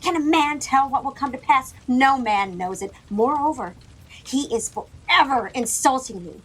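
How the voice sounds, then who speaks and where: A woman playing an imperious, impatient middle-aged queen in a classic audio drama, husky and creaky, with a disdainful, mocking delivery. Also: sits high, expressive, normal speed, a bit bright, full character voice, poor audio. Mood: mocking, scornful. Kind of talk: classic stage play performed as audio drama.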